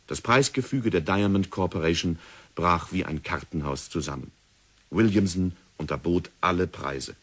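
A male narrator tells the story in a measured, sober way. His voice is very deep and husky, like a vintage radio documentary. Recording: old radio play recording.

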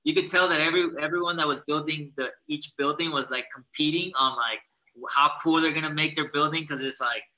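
A man's voice: a little high, a little fast, poor audio. A young adult man, a professional skateboarder, answering casually over a video call, full of "like" and "you know". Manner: relaxed, chatty, frequent "like" and "you know". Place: indoor living room. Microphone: laptop webcam on a video call.

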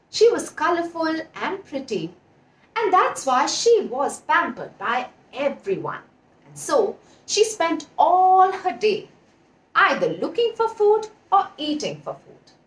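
Casting: female kids' storyteller, Indian accent, unhurried and very lively, recorded in an echoey room. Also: sits high. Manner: expressive, dramatic emphasis, questions to listeners. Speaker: children's storyteller with puppets. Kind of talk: animated storytelling for young children. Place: indoor room with patterned wall. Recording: echoey room, distant mic.

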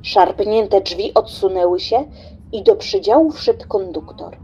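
Female narrator for audio drama: husky, theatrical, deliberate, with widely swinging intonation. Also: medium pitch, very animated, warm tone, dull audio. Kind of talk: dramatic scripted literary narration.

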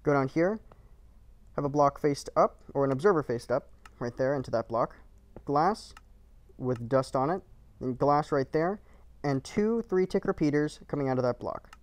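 Man calmly giving clipped build instructions. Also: fairly high pitch, moderate pace. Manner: terse, clipped instructions, calm and matter-of-fact. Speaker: game tutorial creator. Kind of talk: step-by-step build instructions over gameplay.

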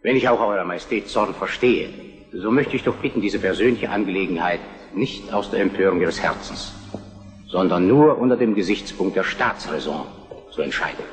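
An actor playing a shrewd, controlled Prussian prime minister, deferential and measured, in a German historical radio drama. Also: male voice, low-pitched, soft background music, close to an everyday voice. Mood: measured, persuasive. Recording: radio play in echoing hall.

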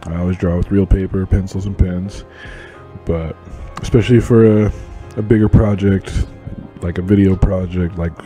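A man's voice: low-pitched, steady tone, normal speed, mellow. A man giving casual, conversational voice-over commentary as an illustrator hosting a drawing channel. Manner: informal, conversational. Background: music.

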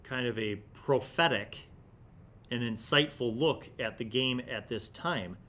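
A man's voice: medium pitch, a little slow, sharp tone. Middle-aged American man, conversational and explanatory. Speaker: YouTube host reviewing old gaming magazines. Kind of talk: casual review and reminiscence, partly reading aloud. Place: small indoor studio room with shelves. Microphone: large desktop studio microphone in front of him.

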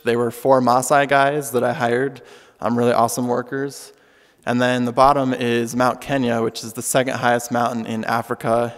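A young man giving a keynote talk with slides at a podium in a large auditorium, relaxed and earnest with frequent ums. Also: mid-range voice. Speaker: keynote speaker. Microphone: podium microphone. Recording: long hall reverb.

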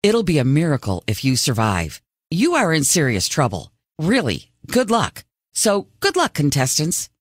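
A woman's voice: sits low, huge pitch swings, moderate pace, no echo, clean studio sound, full character voice. A woman acting a dramatic overseer of a reality competition show in a video game. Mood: ominous, stern.

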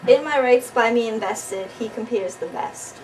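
A woman playing a scornful, bitter noblewoman in amateur Shakespeare, slow and disdainful, recorded far from the mic with noise. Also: fairly high pitch, darkish tone, lightly acted. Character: haughty, scornful royal noblewoman, jealous and bitter. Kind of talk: amateur Shakespearean stage acting.

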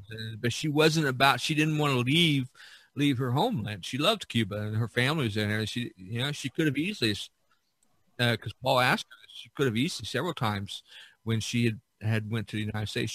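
A man's voice: medium pitch, fairly bright tone, dry recording. A man chatting casually as a podcast host, reminiscing in a rambling way that repeats and circles back.

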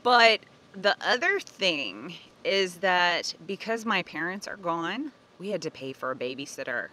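A woman in her forties chatting casually to a phone held at arm's length in a sunny park, animated and laughing at herself. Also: lowish voice, unhurried, slight background noise. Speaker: family budget vlogger. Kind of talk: casual vlog talk to camera.

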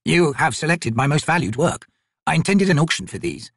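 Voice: medium pitch, expressive, creaky, husky, dry recording, clean studio sound, pitched above the performer's own voice. A narrator playing a meek, nervous old male trader in an audiobook, hesitant and fussily formal. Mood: squirming, formal. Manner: slow, hesitant, formal and fussy.

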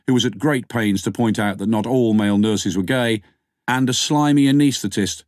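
A man's voice: lowish voice, darkish tone, no echo, studio quality. A man reading scripted fiction as an audiobook narrator.

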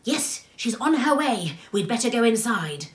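A woman voicing a calm, practical storybook woman, plain-spoken and kindly. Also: a little high, measured pace, recorded from a distance, voice pushed up. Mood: calm warning. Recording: echoey room.

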